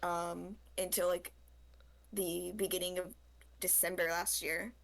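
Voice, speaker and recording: A woman's voice: fairly low pitch, little pitch movement, measured pace, gravelly, rough recording. A woman guest on a game stream, chatting casually and somewhat hesitantly. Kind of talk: casual chat about gaming.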